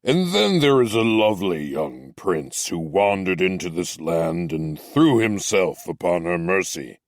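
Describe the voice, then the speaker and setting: A stern, fatherly captain, as a male narrator puts him on, speaking slowly and deliberately in a deep, low voice. He sounds measured and gently reproving. The recording is dry and close, with no room sound. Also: big pitch swings, no echo, exaggerated voice. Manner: slow, measured, gently admonishing. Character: stern but kindly male captain, middle-aged. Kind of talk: audiobook character voice.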